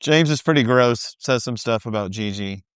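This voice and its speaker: A man's voice: medium pitch, normal speed, clear, resonant tone, no room sound, studio quality. A man in middle adulthood co-hosting a podcast, chatting in a wry, easygoing way. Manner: easygoing, wry, laughs often. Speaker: podcast co-host. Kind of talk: casual recap chat.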